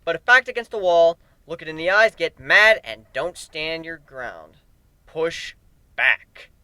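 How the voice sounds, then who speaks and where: A man's voice: fairly high pitch, very animated, unhurried, sharp tone, clean tone, no echo. A man narrating a first-person story dramatically, as an amateur reader.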